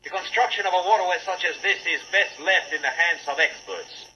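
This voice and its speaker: Sly, condescending schemer. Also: male voice, high-pitched, fairly quick, bright, forceful tone. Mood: coolly threatening. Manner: formal, veiled, condescending. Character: smooth, menacing Latin American male official, condescending, sly. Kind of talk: 1950s radio drama acting. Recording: muffled old radio recording, clear hiss.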